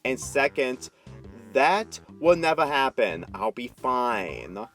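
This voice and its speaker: A man's voice: mid-range voice, big pitch swings, takes it slowly, a bit bright, exaggerated voice. Man playing a young male pet dog, earnest, pleading, reassuring, storybook reading, music behind. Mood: calm reassurance. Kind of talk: amateur fan fiction story reading.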